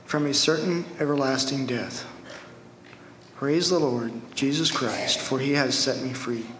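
A young man from Ohio giving an earnest, halting testimony at a Bible school and reading a short poem he wrote. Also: mid-range voice, a bit bright, slight background noise. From Ohio, USA. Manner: earnest, halting, reads his poem slowly. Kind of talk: spoken testimony and recited poem. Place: church hall. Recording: echoey hall with long reverb.

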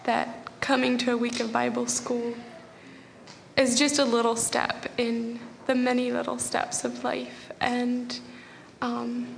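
Slow, monotone young woman with a gravelly edge. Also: on the high side, a little hiss or hum. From Pennsylvania, USA and Tanzania. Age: young adult. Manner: hesitant, many filler pauses. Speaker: Bible school attendee giving testimony. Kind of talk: spoken testimony. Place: church hall. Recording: echoey hall with long reverb.

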